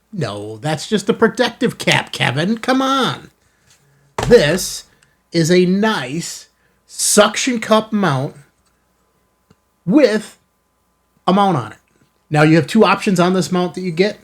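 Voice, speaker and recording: A man hosting a casual unboxing video, talking to viewers in an enthusiastic, folksy way with self-kidding asides. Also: sits high. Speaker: host of a ham radio channel. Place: studio.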